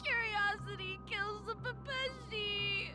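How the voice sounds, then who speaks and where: A woman's voice: very high voice, takes it slowly, over music. A woman voicing a terrified little male creature in a video game, wailing in panic and convinced he is dead. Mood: tearful despair.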